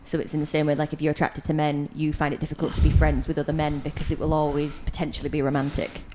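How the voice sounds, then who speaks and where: A woman with a very low speaking voice, chatting casually on a podcast, full of teasing quips and questions. A faint noise sits behind her voice. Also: completely flat delivery, quick pace. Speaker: podcast co-host. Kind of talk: casual chat.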